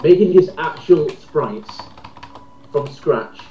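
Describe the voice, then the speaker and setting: A man's voice: fairly high pitch, expressive, slow pace. A man in a casual, opinionated group chat, speaking hesitantly and repeating his words. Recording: echoey, muffled amateur voice recording.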